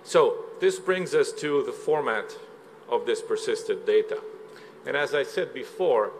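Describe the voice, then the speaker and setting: A man from Slovenia giving a measured, explanatory conference presentation, with frequent filler sounds. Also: mid-range voice, a little hiss or hum, poor audio. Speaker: conference speaker. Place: conference hall.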